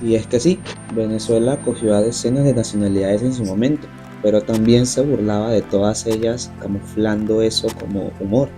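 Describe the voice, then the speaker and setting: Male narrator, low and very dark, measured scripted read. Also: fairly even intonation, soft background music.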